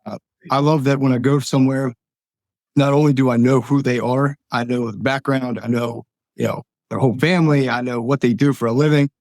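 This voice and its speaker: A young man in his twenties from Pennsylvania, a guest talking casually with a hoarse voice and a friendly, upbeat manner. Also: mid-range voice, steady tone, brisk, a little mellow, no echo. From Columbia, Pennsylvania. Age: 20s. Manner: upbeat, friendly, filler-heavy. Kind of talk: casual interview chat.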